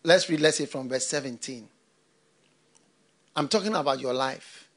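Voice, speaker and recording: A middle-aged man from Ghana preaching a sermon into a handheld mic on a church stage, swinging between loud bursts and hushed asides. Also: mid-range voice, moderate pace. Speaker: pastor.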